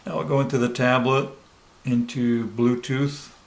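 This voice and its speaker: A man presenting a hands-on product tutorial step by step, pausing mid-sentence as he demonstrates. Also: medium pitch, flat delivery. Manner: halting, pauses mid-sentence while demonstrating. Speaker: product reviewer giving a demonstration. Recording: clear background noise, room ambience.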